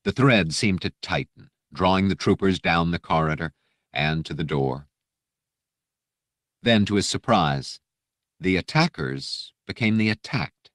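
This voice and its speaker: A man's voice: low-pitched, measured pace, fairly bright tone, no room sound, clean studio sound. A man reading fiction as an audiobook narrator, in a dramatic scripted style.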